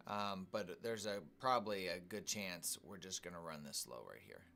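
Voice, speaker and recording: A man hosting a live day trading stream, calmly commenting on his trades and greeting viewers in the chat. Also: sits low, moderate pace, slight background noise. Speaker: day trading livestream host.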